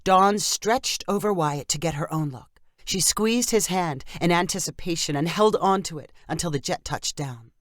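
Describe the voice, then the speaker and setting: Woman narrating a short story, low voice. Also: normal speed, hardly any pauses, no echo, clean studio sound. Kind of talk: scripted short-story narration for English learners.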